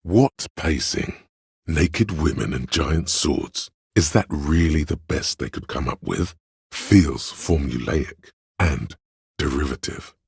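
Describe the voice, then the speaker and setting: The pretentious artistic voice inside a detective's head for a video game. It is an extremely low, gravelly bass that moves slowly and deliberately. Every phrase is delivered as theatrical narration, with the gravity of a discerning critic. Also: male voice, animated, no room sound, studio quality, exaggerated voice. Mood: disdainful. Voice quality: very deep gravelly husky bass. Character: artistic inner voice of a detective's mind, lofty and critical.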